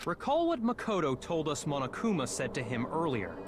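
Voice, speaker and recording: A man voicing a calm, analytical high school student, reading from a game script. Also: brisk, heavy background noise, close to an everyday voice. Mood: grave, composed.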